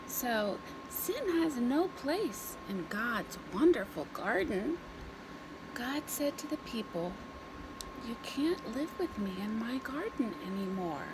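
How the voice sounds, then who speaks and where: Lady doing storytime for little kids, really slow and super animated, noisy recording. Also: a little high. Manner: expressive, simple deliberate phrasing with dramatic pauses. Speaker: children's story reader. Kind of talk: storytime read-aloud for young children.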